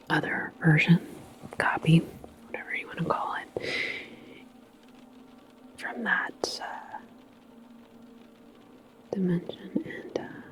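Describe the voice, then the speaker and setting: A woman playing a calm, reassuring transit station attendant in a sci-fi ASMR roleplay, speaking in a soft, breathy whisper with a halting, chatty manner. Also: fairly low pitch, low-quality recording. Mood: confiding.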